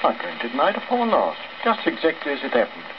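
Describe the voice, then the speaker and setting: A man's voice: mid-range voice, wildly swooping pitch, brisk. Man reading as a self-important English ship's cook, crackly record. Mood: airy, smug. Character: boastful, mock-sorrowful ship's cook, a lying self-styled seer. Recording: old crackly record, dull, heavy surface noise.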